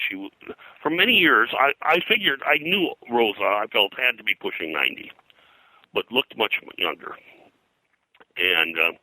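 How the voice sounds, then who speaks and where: Older American male interviewee, low-pitched and very sharp in tone, anecdotal and meandering, casual reminiscence. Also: no room sound, poor audio. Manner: rambling, anecdotal, halting mid-sentence. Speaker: interview guest, comics colorist and radio historian.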